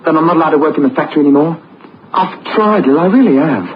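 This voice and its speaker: A man's voice: fairly high pitch, lively intonation, fast talker, close to an everyday voice. An actor playing a desperate, guilt-ridden young man in a vintage radio drama, earnest, agitated and pleading. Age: young adult. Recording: dull, noisy old radio recording.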